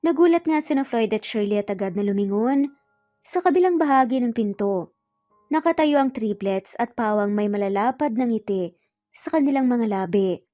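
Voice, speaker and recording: Female narrator for dramatic fiction: soft mellow tone, measured and expressive, very dry recording. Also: a little high, no room sound, lo-fi sound, soft background music. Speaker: storyteller.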